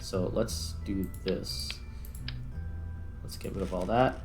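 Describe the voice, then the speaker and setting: Male gaming host, lowish voice, casual, talks through each step as he goes; light music bed. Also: steady tone, takes it slowly, darkish tone. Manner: thinks aloud while working. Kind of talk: casual gameplay commentary. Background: background music.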